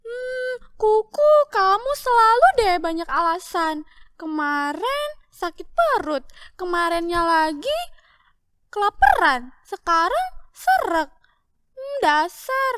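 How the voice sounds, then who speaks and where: A woman voicing a diligent little girl who scolds her friend in a slow, emphatic way. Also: very high-pitched, very animated, fairly bright tone, no room sound, full character voice. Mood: scolding. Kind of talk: children's story skit.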